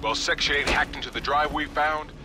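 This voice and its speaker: An actor playing a serious, determined private military contractor in an action game, speaking in an earnest, businesslike military way. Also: male voice, steady tone, fairly quick, lightly acted. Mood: grave. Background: loud music, gunfire, vehicle rumble.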